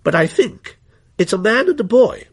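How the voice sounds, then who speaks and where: A man's voice: high voice, very animated, normal speed, husky, voice pushed up, heavily acted. A man reading a story, voicing an eager, self-conscious adolescent boy. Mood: curious, eager.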